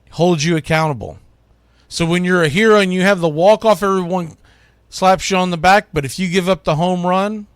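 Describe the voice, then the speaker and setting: A male sports radio host with a slightly high voice, recorded close and dry. He delivers an informal monologue in list form, conversational yet emphatic, stating his opinions firmly. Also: no echo. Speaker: sports radio host, baseball play-by-play announcer.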